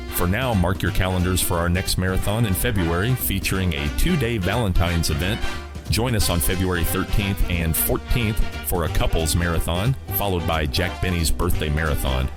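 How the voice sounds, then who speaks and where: Male radio host, deep register, scripted and measured, prominent music bed. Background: loud music.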